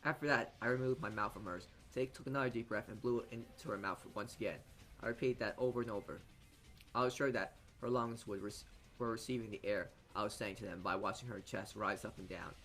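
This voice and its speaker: A man reading a visual novel aloud as dramatic narration, halting often as he stumbles over and repeats words. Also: lowish voice, steady tone, fairly bright tone, faint music behind. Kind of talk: dramatic read-aloud narration for a let's play.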